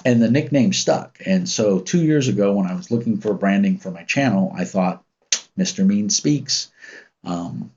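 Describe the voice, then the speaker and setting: A man in his fifties from the United States with a deep, commanding voice, chatting casually on his livestream and telling stories in a rambling, relaxed way. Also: normal speed, slight background noise. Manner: rambling, relaxed, with many ums and restarts. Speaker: channel host reading live chat. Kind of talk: casual livestream chat and storytelling. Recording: muffled lo-fi stream audio.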